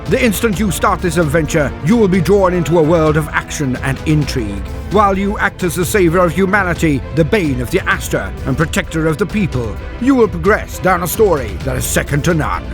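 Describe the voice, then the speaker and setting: A man's voice: on the high side, animated, brisk, hardly any pauses, music in the background. A man narrating as a video host from a script, with formal, theatrical phrasing.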